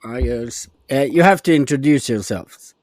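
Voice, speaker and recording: A man's voice: medium pitch, animated, moderate pace, darkish tone, no echo. A man hosting a podcast, introducing the episode and interviewing guests. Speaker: podcast host.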